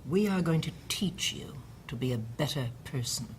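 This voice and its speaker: An actress playing a stern, sanctimonious matron of an indoctrination centre in an audio drama, condescending and preachy. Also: female voice, sits low, animated, moderate pace, a little hiss or hum. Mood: cold, condescending. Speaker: actor.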